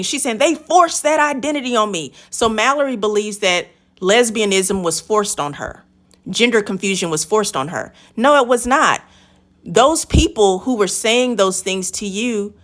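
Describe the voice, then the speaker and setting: A woman's voice: mid-range voice, fairly bright tone. A woman hosting a reaction video, commenting on a clip in an emphatic, lecturing way and addressing the guest directly.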